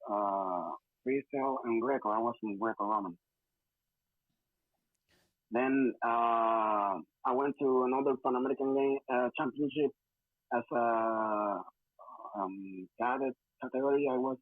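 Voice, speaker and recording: A young Puerto Rican man talks haltingly, searching for English words between fillers. His voice comes through thin and phone-like, with a dry, close sound and no room around it. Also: mid-range voice, no room sound. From Puerto Rico. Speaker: interview guest, wrestler. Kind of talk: casual interview answers.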